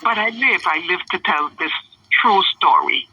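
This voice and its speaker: An older woman from Trinidad speaks haltingly as an interview guest, pausing often on ums. Her tone is very bright. She sounds far from the mic over a dull, phone-like line. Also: fairly low pitch, slightly rough, no echo. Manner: hesitant, many ums and restarts, soft laughs. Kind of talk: interview answers.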